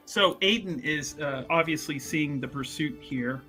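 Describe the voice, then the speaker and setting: A man's voice: a little high, slow, bright, forceful tone, soft background music. A man chatting casually as a tabletop game player, jokey and thinking aloud in stop-start phrases. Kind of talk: casual improvised game chat.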